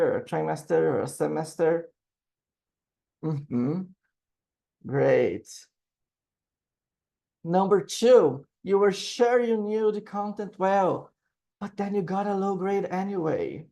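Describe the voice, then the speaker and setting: A man's voice: sits high, vocal fry, darkish tone. A man from Brazil with a Brazilian Portuguese accent, presenting to teachers over a video call and often checking in with the audience. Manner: explanatory, often checks in with "right?". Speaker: teacher and panel speaker. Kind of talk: webinar presentation.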